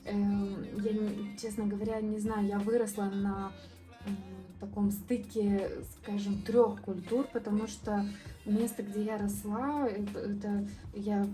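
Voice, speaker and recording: Mellow-voiced woman rambling over painting. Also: medium pitch, unhurried, soft background music. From southern Moldova. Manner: relaxed, rambling, many fillers and restarts. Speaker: art vlogger. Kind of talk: casual painting vlog voice-over.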